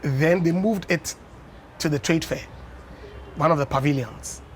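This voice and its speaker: Higher-voiced Ghanaian man telling stories. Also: slight background noise. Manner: anecdotal storyteller, unhurried with many "you know"s. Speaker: guest, former dance champion. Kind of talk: conversational interview on breakfast TV. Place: television studio.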